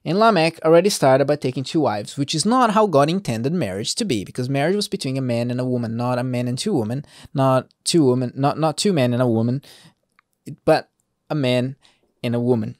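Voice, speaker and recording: A man with a medium-pitched voice reads scripture and comments on it informally. He talks conversationally, wandering into asides and rhetorical questions. The sound is dry, with very little room in it. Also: expressive, fairly quick, dry recording. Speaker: host reading and commenting on the Bible. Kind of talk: informal Bible reading with off-the-cuff commentary.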